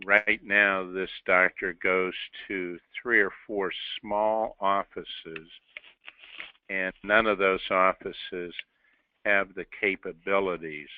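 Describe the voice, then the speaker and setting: Slow, measured man on the phone. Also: low-pitched, flat delivery, no echo. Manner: measured, pausing between short phrases. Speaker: company executive answering investor questions. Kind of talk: business question-and-answer on a conference call. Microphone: telephone on a conference call. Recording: narrow dry telephone line.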